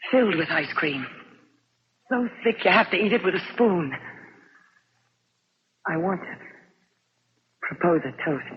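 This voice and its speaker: An actress playing a brave, modest, injured young flight nurse in a 1950s radio drama, halting and subdued. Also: female voice, lowish voice, expressive. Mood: wistful. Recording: muffled, noisy 1950s radio recording.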